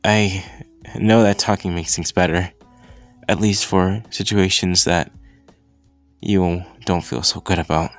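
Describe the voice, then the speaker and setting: Anime ASMR roleplay: shy, kind teenage hero boy, played by a man, unhurried, stammering and apologetic, music underneath. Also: low-pitched, steady tone, pitched below the performer's own voice. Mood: gentle, reassuring. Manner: hesitant, halting, apologetic, with stammers and pauses.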